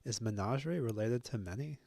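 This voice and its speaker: A young man thinking aloud as he works through a language on his own, musing and joking at his own expense, halting often with fillers. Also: mid-range voice, gravelly, mellow, no echo. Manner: musing, self-deprecating, halting with many fillers. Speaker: language-learning video host. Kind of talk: thinking aloud while self-studying.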